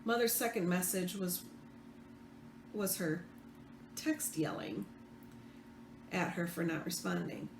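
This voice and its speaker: A woman reading fiction aloud as the author, expressive, with the odd stumble. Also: fairly low pitch, slow, pauses often. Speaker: author reading own book. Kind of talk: read-aloud fiction narration. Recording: roomy echo, faint background noise.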